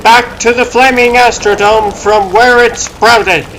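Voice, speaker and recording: A man's voice: very high voice, steady tone, unhurried, fairly bright tone, slight background noise, rough recording. A man playing a solemn storyteller, giving scripted dramatic narration for a superhero comic. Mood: solemn, wondering.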